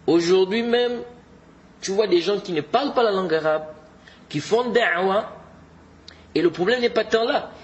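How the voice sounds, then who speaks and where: A man's voice: sits high, moderate pace, long pauses, muffled recording. A man giving an informal religious lesson, exhorting his listeners and often repeating his points with Arabic religious terms mixed in. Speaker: religious teacher.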